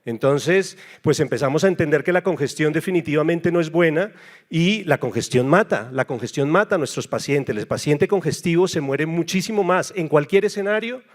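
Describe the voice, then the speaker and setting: A man's voice: a little high, animated, normal speed. A man from Colombia with a Colombian accent gives a medical lecture as a nephrologist, speaking conversationally and emphatically with frequent tag questions. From Bogotá, Colombia.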